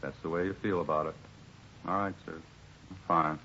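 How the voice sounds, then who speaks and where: A man acting a weary, put-upon bar employee in a 1950s radio drama, rambling along plainly in an American accent with a husky voice. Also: low voice, steady tone, normal speed, pushed and bright, a little hiss or hum. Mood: meek, placating. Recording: muffled 1950s radio recording.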